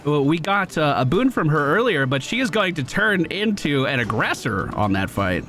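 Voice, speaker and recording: A man's voice: a little high, sing-song, normal speed, a bit bright. A man giving casual, chatty commentary while he plays a video game, now and then swearing or laughing. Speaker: gaming video creator. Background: game music.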